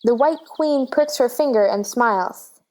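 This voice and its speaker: A woman's voice: fairly high pitch, unhurried, a little mellow, rough recording. A woman reading stage directions as the narrator of a scripted storybook drama.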